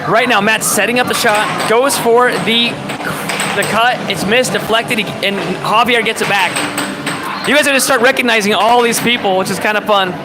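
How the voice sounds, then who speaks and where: A man's voice: high voice, moderate pace, low-quality recording. A man hosting a livestream, giving upbeat, chatty play-by-play of air hockey matches in an arcade. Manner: upbeat, chatty, calls plays on the fly. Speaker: air hockey commentator and host. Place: indoor downtown arcade.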